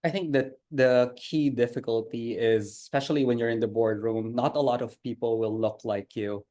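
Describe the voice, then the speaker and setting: A man from the Philippines speaks as a panelist. His voice sits at an ordinary pitch. He answers in a composed, deliberate way. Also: fairly even intonation, warm tone. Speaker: panelist, nurse executive.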